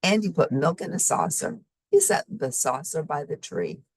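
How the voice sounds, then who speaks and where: A woman reading a children's story expressively, with simple, deliberate phrasing for young listeners. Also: deep voice, wildly swooping pitch, breathy edge, no echo. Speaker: storybook reader.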